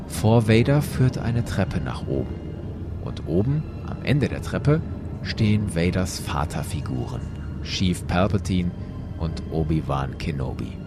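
A man with a very deep voice retells a story with vivid drama, his pitch swinging widely from line to line. Loud music plays beneath his narration throughout. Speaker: podcast host.

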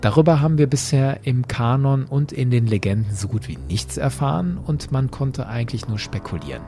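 A man's voice: mid-range voice, expressive, a little fast, mellow, faint music behind. A man hosting a podcast, giving structured, explanatory commentary in a prepared narration style. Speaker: podcast host.

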